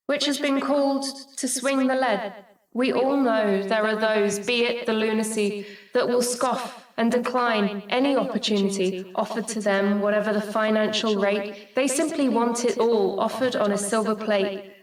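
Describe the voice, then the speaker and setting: A woman's voice: on the high side, recorded from a distance, natural voice. A woman acting in a radio play as an ambitious, guarded political candidate who performs rhyming verse. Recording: roomy echo, music behind.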